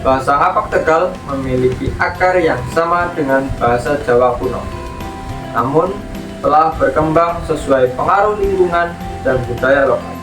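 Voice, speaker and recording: Guy hosting a podcast, scripted and clear, roomy echo, guitar music behind. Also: a little high, moderate pace. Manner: clear, informative, welcoming. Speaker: podcast host. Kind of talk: scripted narration. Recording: echoey, distant with music bed.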